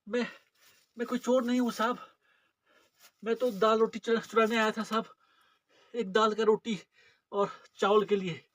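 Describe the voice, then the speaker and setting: A man's voice: sits high, flat delivery, normal speed, pauses often, a bit bright, no echo. A man playing a terrified, hungry beggar in a dramatic monologue, pleading and grovelling repetitively for mercy. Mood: frightened pleading.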